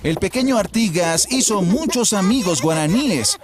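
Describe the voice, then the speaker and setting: Man, scripted storybook narration, expressive, animated intonation, slightly high pitch, children's cartoon. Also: normal speed. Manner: expressive, storytelling delivery with repeated refrains.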